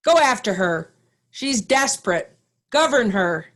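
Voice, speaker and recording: A righteous, commanding nobleman of Shakespearean drama, played by a woman. The voice sits fairly high and moves slowly, with deliberate, declamatory phrasing. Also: big pitch swings. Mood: urgent. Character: righteous, commanding nobleman confronting a wicked wife. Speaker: amateur actor in a reader's theatre. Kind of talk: Shakespearean stage reading.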